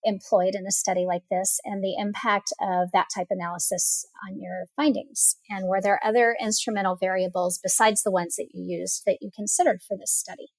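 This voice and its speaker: A woman's voice: medium pitch, steady tone, a little fast, few pauses, no echo, poor audio. A woman hosting a professional podcast, asking formal, articulately worded interview questions. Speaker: burn surgeon. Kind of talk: scripted-sounding interview questions.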